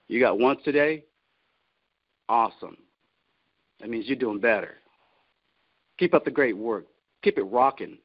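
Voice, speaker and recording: A man's voice: mid-range voice, no room sound. Motivational man on a dull call line. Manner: exhortatory, repeats words for emphasis. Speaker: company founder and team leader. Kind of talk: motivational team call talk. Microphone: remote call. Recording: dull, lo-fi conference call audio.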